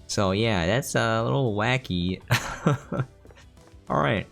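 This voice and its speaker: A man's voice: fairly low pitch, slow pace, vocal fry, a bit bright. A man giving casual, explanatory gameplay commentary, talking informally to his viewers. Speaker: game streamer giving a preview. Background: game music.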